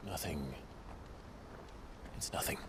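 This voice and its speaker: A man playing a brooding, thoughtful young nobleman in a video game, speaking in a quiet, reflective, restrained way with a gravelly voice. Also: slow pace, noisy background, lightly acted. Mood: guarded. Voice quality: low, gravelly. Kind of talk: dramatic video game acting.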